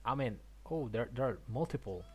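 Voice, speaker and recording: A gaming streamer giving casual commentary on a story, thinking aloud haltingly and repeating words. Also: mid-range voice, expressive, darkish tone, a little hiss or hum.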